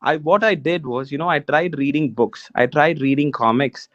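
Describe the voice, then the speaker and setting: A man's voice: a little high, moderate pace, fairly bright tone, no room sound, muffled recording. A man with an Indian English accent, a native Tamil speaker, talking to students in a casual, encouraging way. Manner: casual, encouraging, calls listeners man and guys. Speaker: alumni guest speaker. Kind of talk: motivational talk to students.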